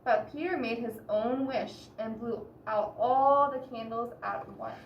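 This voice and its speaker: A woman reading a picture book aloud expressively to children, pausing to explain and ask the listeners questions. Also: a little high, highs cut off. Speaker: children's story reader. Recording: roomy echo, distant mic, background hiss.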